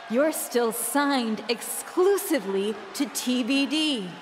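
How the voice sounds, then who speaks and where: A woman's voice: on the high side, noisy background. An actress voicing a bitter, jealous young woman who runs an indie wrestling promotion, talking in a cold, taunting way in a video game cutscene. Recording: roaring arena crowd behind.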